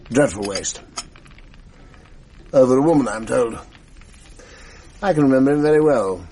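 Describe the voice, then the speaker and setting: An actor playing a genial, chatty retired schoolmaster, older, in a 1980s radio drama. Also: male voice, medium pitch, lively intonation, measured pace, mellow, a little hiss or hum, poor audio. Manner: genial, chatty, old-fashioned.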